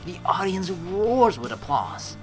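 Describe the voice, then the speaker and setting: A man's voice: high-pitched, very animated, slow pace, faint music behind, poor audio. A man hosting a let's play, reading game text aloud and reacting casually and animatedly, with free swearing.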